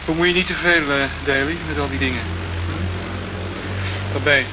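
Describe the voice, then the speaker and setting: A man's voice: a little high, steady tone, normal speed, lightly acted. An actor playing a guarded male police sergeant in a Dutch radio play, dry, grudging and cautioning. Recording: noisy, muffled old radio recording.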